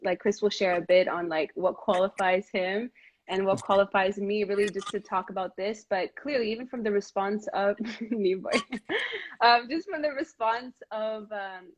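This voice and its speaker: A woman's voice: mid-range voice, normal speed. Earnest woman hosting a casual live chat. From Montreal, Canada. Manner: earnest, many fillers and restarts. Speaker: host of a live video chat. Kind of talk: casual heartfelt conversation. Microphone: live stream device mic.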